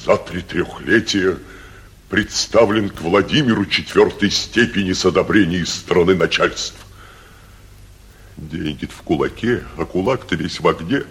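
A man playing a timid, obsequious provincial judge in a classic Russian stage comedy for radio, halting and servile, muttering anxious asides. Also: deep voice, fairly bright tone, slightly rough, exaggerated voice. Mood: servile, nervous. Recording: muffled 1949 radio theatre recording.

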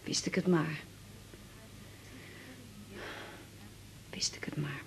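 A woman's voice: sits low, fairly even intonation, a little fast, vocal fry, in a whisper, low-quality recording. A middle-aged woman the playing a worried, talkative mother in a radio drama. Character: fussing, worried mother of a grown daughter. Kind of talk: naturalistic radio drama dialogue.

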